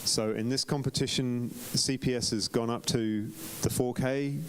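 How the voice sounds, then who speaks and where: A man's voice: medium pitch, steady tone, moderate pace. Chatty man critiquing photos, faint hiss. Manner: chatty, self-correcting, frequent ums and restarts. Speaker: photo competition reviewer. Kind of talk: live-streamed club critique. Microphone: live stream.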